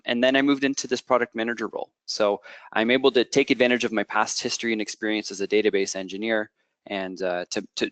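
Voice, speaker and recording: Canadian man presenting a software product. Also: on the low side, no echo. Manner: explanatory, frequent fillers and restarts, checks in with okay. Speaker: product manager.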